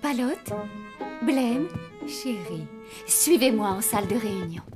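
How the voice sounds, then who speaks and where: A woman's voice: a little high, expressive, slow, over music. A woman voicing a cheerful zombie mother who runs a hotel in a children's cartoon. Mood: motherly. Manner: bubbly, motherly.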